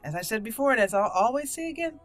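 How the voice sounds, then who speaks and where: A woman's voice: a little high, animated, quick pace, soft background music. A woman doing roleplay let's-play commentary, playful and theatrical in the way she talks to viewers. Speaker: gaming channel host.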